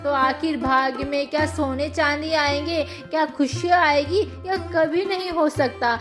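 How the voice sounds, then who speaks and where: Proud impatient sister, played by a woman narrator, very high voice, whiny, cartoon moral tale, music underneath. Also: steady tone, a little slow. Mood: remorseful. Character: haughty, impatient, envious younger woman, a sister. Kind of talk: Hindi cartoon moral story acting. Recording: music behind the voice.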